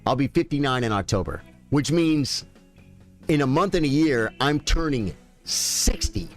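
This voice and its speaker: A man's voice: mid-range voice, expressive, unhurried, soft background music. A man in his late fifties, a chess streamer chatting casually and folksily with his viewers. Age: 50s. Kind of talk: casual live-stream chat.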